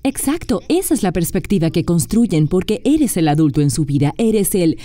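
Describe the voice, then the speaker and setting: A woman's voice: lowish voice, very animated, moderate pace, dark tone, dry recording. A woman speaking as an interview guest, earnestly and emphatically explaining her points in a dubbed conversation.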